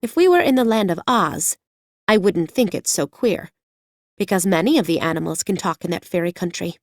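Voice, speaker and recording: A woman's voice: fairly low pitch, animated, normal speed, dry recording, clean studio sound. A woman voicing a polite, curious little girl adrift at sea in a storybook reading. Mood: polite wonder. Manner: polite and wondering.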